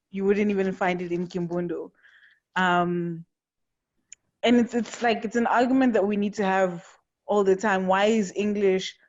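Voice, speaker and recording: A woman from Angola hosting a conversation, speaking earnestly with frequent restarts. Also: medium pitch. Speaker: host. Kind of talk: conversational interview. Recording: dull lo-fi sound.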